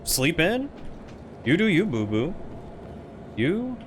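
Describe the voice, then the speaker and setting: A man streaming a game live, chatting casually with a loud, projecting voice that he keeps lighter and friendlier than his natural deep baritone. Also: big pitch swings, takes it slowly, a little hiss or hum.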